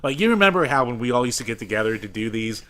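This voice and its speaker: A man's voice: medium pitch, sing-song, rapid-fire, bright, forceful tone. A man co-hosting a podcast, bantering casually about games in a profane, self-mocking way. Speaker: podcast co-host.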